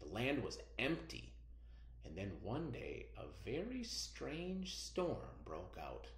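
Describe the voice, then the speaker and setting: A middle-aged American man giving a reading lesson to children as their teacher, expressive and fond of posing questions. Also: mid-range voice, slow. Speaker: elementary school teacher. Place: indoors, roomy-sounding room. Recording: echoey room sound.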